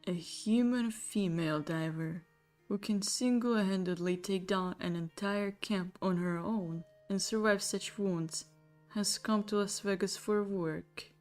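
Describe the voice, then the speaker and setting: An actress playing a composed, probing older elf veteran in a fantasy story. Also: female voice, mid-range voice, steady tone, unhurried, darkish tone, lightly acted. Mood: musing, suspicious. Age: middle-aged. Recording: music bed under the voice.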